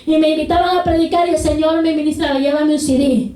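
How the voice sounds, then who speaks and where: A woman's voice: high voice, steady tone, fast, mellow, echoey room, far from the mic. A woman preaching a sermon with fervent repetition of praise phrases. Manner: fervent, repeats hallelujah and glory to God.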